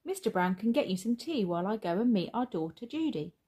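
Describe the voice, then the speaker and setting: A woman's voice: medium pitch, sing-song, fairly quick, dark tone, breathy edge. Woman acting a caring, motherly storybook wife. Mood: decisive. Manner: caring, exclaiming. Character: kind-hearted, decisive, motherly wife. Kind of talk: children's storybook read aloud.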